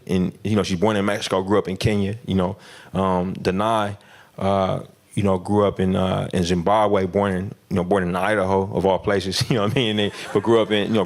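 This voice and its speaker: A man with a fairly low voice talks through his answers in a relaxed interview. He stumbles and restarts often, fills gaps with "you know", and breaks into laughs along the way. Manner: halting, many restarts and fillers, laughs often. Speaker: film director guest. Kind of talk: casual interview conversation.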